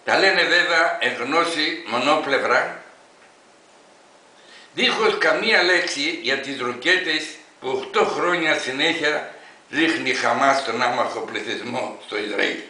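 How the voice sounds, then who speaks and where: Man in his 80s, piercing bright voice, emphatic oratory, reading a letter aloud, echoey room. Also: mid-range voice. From Greece.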